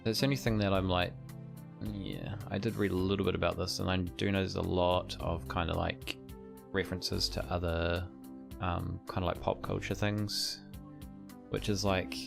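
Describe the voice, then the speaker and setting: A man's voice: low-pitched, little pitch movement, normal speed, vocal fry. A man giving casual, laid-back live game commentary, muttering wry asides as he plays. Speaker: game streamer. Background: game music.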